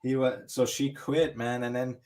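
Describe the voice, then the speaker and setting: A man's voice: mid-range voice, little pitch movement, moderate pace, a crowd in the background. A man from the United States chatting casually on a podcast, rambling with many restarts and fillers. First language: English. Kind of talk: casual chat.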